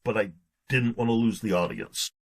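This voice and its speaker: A man with a fairly low, husky voice answers as an author being interviewed. He talks conversationally, often doubling back and repeating words as he works out his point. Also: normal speed. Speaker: guest author.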